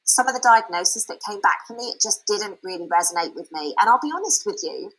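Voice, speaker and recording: Frank, bright-voiced woman. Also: medium pitch, animated, quick pace, poor audio. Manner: frank and chatty, with many fillers and restarts. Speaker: speaker sharing a product testimonial. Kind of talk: candid personal testimony and sales pitch.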